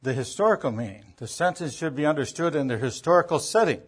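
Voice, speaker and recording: A man's voice: fairly high pitch, expressive, fast, breathy edge. A man giving an emphatic Bible lecture, driving points home with repetition and rhetorical questions. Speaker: Bible teacher, preacher. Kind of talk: teaching lecture on biblical interpretation.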